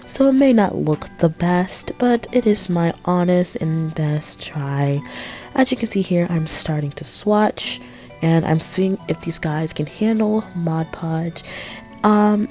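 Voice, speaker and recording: A teenage girl with a raspy voice from a cold, chatting casually in a rambling voice-over with apologetic asides. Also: fairly low pitch, dark tone. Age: teens. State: hoarse from a cold. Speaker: art vlogger.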